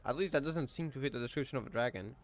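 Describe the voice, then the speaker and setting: A man's voice: mid-range voice, very fast, faint music behind. A man casually reacting to a game playthrough, thinking aloud and asking questions as he plays. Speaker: gaming streamer.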